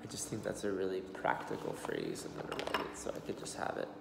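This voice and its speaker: A man in his thirties with an American accent, practicing a new language aloud at his desk, repeating words slowly and haltingly. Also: sits low, wildly swooping pitch, vocal fry, heavy background noise. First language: English. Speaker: language learner. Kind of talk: self-study vlog, reading phrases aloud. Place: small room indoors. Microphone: laptop webcam at desk. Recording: roomy echo with long reverb.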